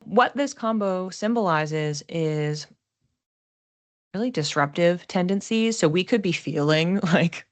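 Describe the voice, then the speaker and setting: A woman's voice: fairly low pitch, lively intonation, slow. A woman hosting an astrology forecast in a casual, explanatory way, conversational and given to voicing imagined thoughts aloud. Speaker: astrologer host.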